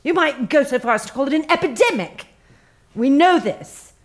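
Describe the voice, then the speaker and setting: An actress playing an earnest, self-important HR manager in a satirical radio play, over-solicitous and gravely sanctimonious. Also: female voice, high voice, animated, moderate pace. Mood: solemn, earnest.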